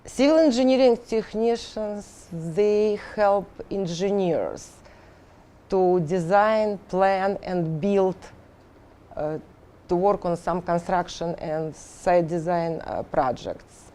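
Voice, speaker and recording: A middle-aged woman from Russia with a Russian accent, an engineering instructor answering interview questions haltingly with many fillers and restarts. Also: lowish voice, dark tone.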